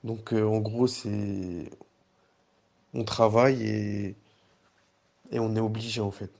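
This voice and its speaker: A man who works as a pizza delivery driver gives a measured personal testimony, full of fillers and restarts. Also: lowish voice, fairly even intonation, creaky, darkish tone.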